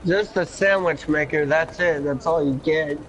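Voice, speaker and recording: A man's voice: fairly high pitch, moderate pace. A man chatting while gaming with a friend, teasing and playful. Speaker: co-player. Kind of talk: casual gaming chat. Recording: noisy game stream capture.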